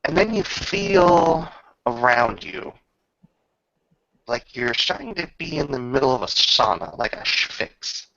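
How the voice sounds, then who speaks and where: Gravelly, forceful man running a game. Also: medium pitch, big pitch swings, slow, highs cut off. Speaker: game master. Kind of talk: tabletop roleplay narration and casual rules talk.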